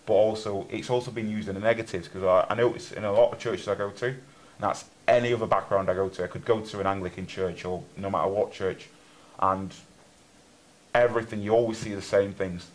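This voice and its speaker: Low-voiced rambling young interviewer. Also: male voice, steady tone, brisk, poor audio. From Germany. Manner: rambling, restarts questions often. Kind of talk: casual church interview.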